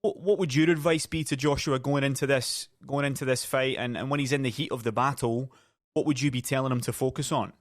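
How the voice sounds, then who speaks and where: A man's voice: mid-range voice, fairly even intonation, fairly quick, no room sound. Man, podcast host, friendly, studio mic, dry. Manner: friendly, affirming questions. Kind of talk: casual interview. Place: small podcast studio indoors. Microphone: headphones and studio mic.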